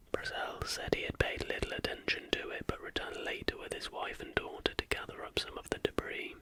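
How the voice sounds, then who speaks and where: Unvoiced ASMR whisper, reading scripted narration. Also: pushed and bright. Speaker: ASMR video host.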